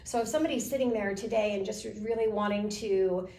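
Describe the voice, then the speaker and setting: A woman's voice: medium pitch, brisk, warm tone. A woman hosting a casual live interview show for real estate agents, talking in a coaching, encouraging way with long rambling sentences. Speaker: talk show host. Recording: echoey room with faint noise.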